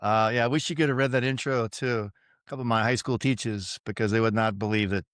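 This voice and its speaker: A man with a Boston accent talks through his past in a relaxed, self-deprecating way, often pausing on fillers. His voice is smooth and resonant. The sound is close and dry. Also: fairly low pitch, no room sound. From suburban Boston, USA. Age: 50s. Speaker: podcast guest, retired Navy pilot. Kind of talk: casual interview storytelling.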